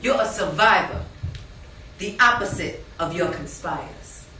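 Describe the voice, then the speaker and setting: A woman's voice: lowish voice, expressive, measured pace, bright, forceful tone. An actress playing a proud, outspoken woman in a stage play, declaiming with emphatic spoken-word delivery. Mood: impassioned, proud. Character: proud, outspoken woman friend, poetic and affirming. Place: indoor stage, live play. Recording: echoey stage hall, distant mic, noisy.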